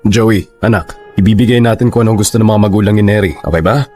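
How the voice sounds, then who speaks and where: A man with a Filipino accent playing an apologetic, peacemaking middle-aged father in a radio drama, measured and conciliatory. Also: lowish voice, a little mellow, soft background music, natural voice.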